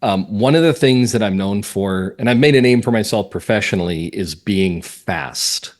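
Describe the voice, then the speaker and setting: A man's voice: lowish voice, moderate pace. A middle-aged man talking at length in a conversational interview, explaining things as a guest. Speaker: guest, mystery playwright and script consultant.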